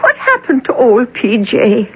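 A tart busybody. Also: female voice, a little high, wildly swooping pitch, a little slow, husky, full character voice. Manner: tart, gossipy. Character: superstitious, sharp-tongued Irish woman. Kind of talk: 1970s radio drama acting. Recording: muffled old radio broadcast.

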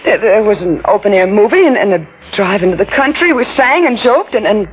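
A woman's voice: mid-range voice, animated, a little fast, slightly rough. An actress playing an emotional, defiant young woman in a 1940s radio horror drama. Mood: bewildered, hurt. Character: passionate, defiant young woman drawn to a devil. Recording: muffled, noisy old radio recording.

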